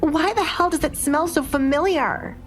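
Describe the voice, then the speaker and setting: A woman voicing a spoiled, hateful young noblewoman in an audio drama, indignant and given to shrill outbursts, with music behind her. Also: normal speed, natural voice.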